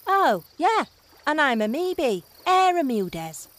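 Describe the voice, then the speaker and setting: An actress playing a brusque, self-interested heiress in a fantasy audio drama, offhand and dry. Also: female voice, high voice, sing-song, unhurried, a bit bright, full character voice. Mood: brisk dismissal.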